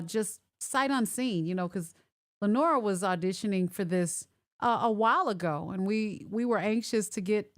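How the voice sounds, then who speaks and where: Woman hosting a live stream over video call, chatty, keeps repeating herself, middle pitch. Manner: chatty, repeats herself while checking the audio. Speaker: talk show host. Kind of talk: casual live-stream chat.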